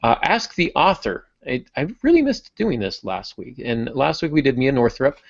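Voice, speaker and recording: A man's voice: medium pitch, big pitch swings, normal speed, vocal fry, no echo, muffled recording. A man hosting a writing podcast, chatting in an upbeat, casual way with frequent restarts. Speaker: podcast host.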